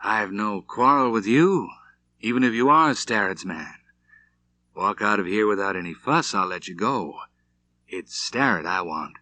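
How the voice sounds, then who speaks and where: A man's voice: on the low side, lively intonation, sharp tone, dry recording. A man voicing a lazy, insolent hired gunman, a villain in a western audiobook. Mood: sober, warning. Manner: drawn-out, insolent. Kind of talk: audiobook character reading.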